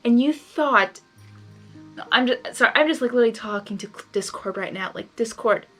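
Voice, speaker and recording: A woman's voice: on the high side, normal speed, sharp tone, soft background music. A woman narrating fan fiction expressively, slipping into casual, emotionally invested asides.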